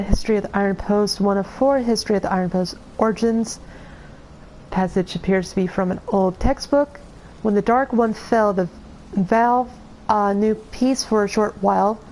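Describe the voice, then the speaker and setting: A woman streaming a video game, reading in-game lore aloud in a halting way with many restarts, her voice going hoarse. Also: mid-range voice, darkish tone, slight background noise, rough recording. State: losing her voice. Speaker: gameplay streamer.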